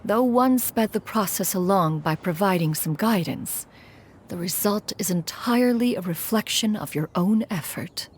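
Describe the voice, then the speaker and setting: A woman's voice: medium pitch, animated, moderate pace, a little mellow, a little hiss or hum, lightly acted. A woman voicing an aloof, ancient female crane spirit in a game cutscene, speaking in a formal, lofty manner. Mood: solemn. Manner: formal, refers to herself as "one".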